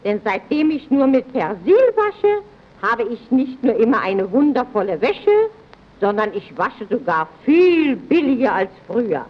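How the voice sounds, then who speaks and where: An actress playing a proud, chatty, assured housewife who gives advice in a scripted vintage radio advert. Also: female voice, fairly high pitch, very animated, a little slow. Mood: self-assured. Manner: assured, didactic. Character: proud, chatty housewife and mother praising detergent. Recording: dull early radio recording.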